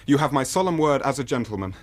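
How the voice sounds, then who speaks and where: An actor playing a desperate but courteous young British gentleman, speaking with formal, restrained earnestness in a radio drama. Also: mid-range voice, animated, fast talker, close to an everyday voice. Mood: pleading. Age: young adult. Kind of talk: BBC radio drama acting.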